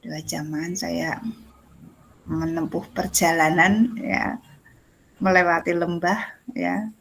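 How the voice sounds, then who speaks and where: A woman's voice: fairly low pitch, fairly even intonation, slow, gravelly, a little hiss or hum. A woman facilitating an online class in a casual, jokey, giggly and self-deprecating way. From Indonesia. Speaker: facilitator of an online teacher training forum. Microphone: video call.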